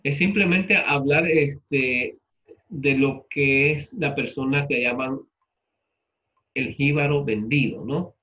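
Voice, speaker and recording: A man's voice: mid-range voice, slow, dull audio. A man with a Puerto Rican accent teaching a literature class informally, explaining things in a conversational way with rhetorical check-ins. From Puerto Rico. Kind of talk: informal class lecture with personal anecdotes.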